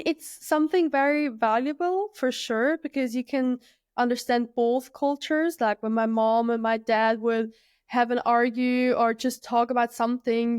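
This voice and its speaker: A woman in her early thirties from Switzerland, a freediver guest speaking in a relaxed, thoughtful way with many fillers. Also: on the high side, clean tone, no echo, studio quality. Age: 30s. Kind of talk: casual conversational interview.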